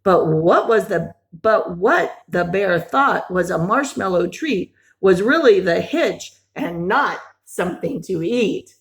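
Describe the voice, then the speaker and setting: A woman reading a picture book aloud to young children in an expressive, animated storytelling style, breaking off for simple questions, gasps and exclamations. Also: mid-range voice, takes it slowly, gravelly, few pauses.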